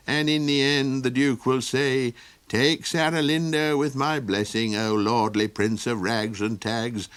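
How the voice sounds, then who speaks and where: A man's voice: on the high side, pitched above the performer's own voice, natural voice. A man putting on a simpering tavern troublemaker. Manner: mocking, simpering, sing-song.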